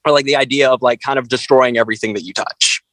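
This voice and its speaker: A man with a mid-pitched voice talks informally as a guest in an interview. His delivery is halting and conversational, full of "like" as he works out his thoughts. The sound is close and dry. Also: steady tone, a bit bright, dry recording, low-quality recording. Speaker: interview guest, band frontman. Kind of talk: casual interview chat.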